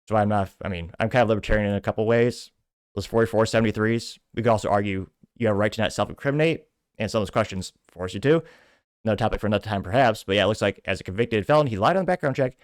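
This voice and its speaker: American man, lowish voice, casual rambling commentary host who wanders into asides; dry recording. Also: rapid-fire, smooth, dry recording. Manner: rambling, digressive, with offhand asides. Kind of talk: casual political commentary, reading out viewer comments.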